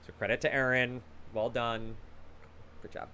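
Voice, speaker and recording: A man's voice: medium pitch, level tone, normal speed, bright, forceful tone, clean tone. A man hosting a trivia quiz over a video call, reading out questions with casual, encouraging banter between them.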